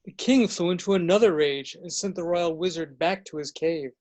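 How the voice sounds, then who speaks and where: A man with a fairly high voice serves as narrator. He reads scripted storybook lines for a readers theater piece. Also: moderate pace, darkish tone.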